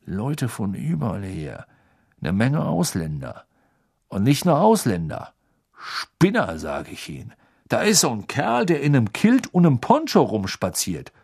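A man's voice: medium pitch, wildly swooping pitch, measured pace, creaky, darkish tone, husky, heavily acted. A man voicing a suspicious, plain-spoken campsite owner in a storybook. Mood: musing. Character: suspicious, gruff ordinary male campsite owner.

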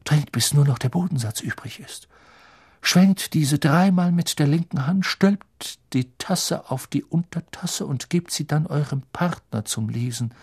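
Spooky airy lady fortune teller teacher, high n husky, drags words out all dramatic, audiobook style. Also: animated, darkish tone, dry recording, studio quality, full character voice. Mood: airy, portentous. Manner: slow, drawn-out, portentous delivery. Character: thin, misty, theatrical female fortune-telling teacher, ominous and airy.

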